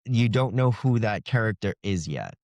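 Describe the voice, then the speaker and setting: A man's voice: fairly low pitch, expressive, moderate pace, a little mellow, no room sound, highs cut off. A man speaking as a fantasy author in a casual interview, easygoing and explanatory in his delivery.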